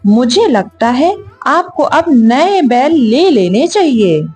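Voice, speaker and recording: A woman's voice: sits high, big pitch swings, slow pace, darkish tone, husky, faint music behind, voice pushed up, heavily acted. A woman voicing a greedy, scheming village wife in a children's cartoon story, coaxing as she gives advice. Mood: scheming, teasing.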